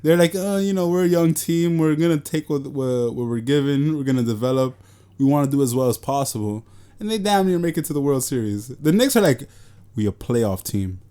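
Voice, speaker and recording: Cara de vinte e poucos, sotaque de Nova York, papo solto de podcast, brincalhão e boca-suja. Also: male voice, mid-range voice, lively intonation, fairly quick, mellow, clear, resonant tone. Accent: New York. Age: 20s. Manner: joking, loose, swears freely. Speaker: podcast co-host. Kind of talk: casual chat.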